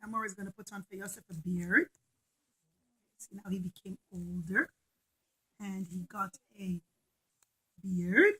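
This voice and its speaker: A woman's voice: medium pitch, unhurried, pauses often, fairly bright tone, husky, rough recording. A woman storyteller narrating a puppet show to children in simple short phrases with emphatic repetition.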